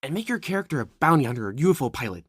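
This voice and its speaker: A woman voicing a bubbly, friendly, excitable young creature with an exuberant, chatty manner, in an ASMR audio roleplay. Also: low voice, rapid-fire, husky, no echo, studio quality, heavily acted.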